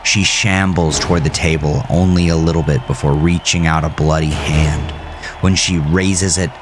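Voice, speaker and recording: A man reading his own horror story as a dramatic, intense scripted narration. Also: deep voice. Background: music under the voice.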